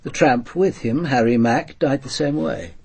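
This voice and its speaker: An actor playing a measured, self-controlled police commander in a radio drama, with an English accent and a formal, deliberate, restrained manner. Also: male voice, mid-range voice, creaky, darkish tone, slightly rough. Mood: grave, factual. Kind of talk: radio drama acting with first-person narration.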